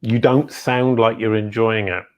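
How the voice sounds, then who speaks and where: Middle-aged man, interview host, excitable and interrupting, repeats words. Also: on the low side, unhurried. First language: English. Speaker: podcast host. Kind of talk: casual interview chat.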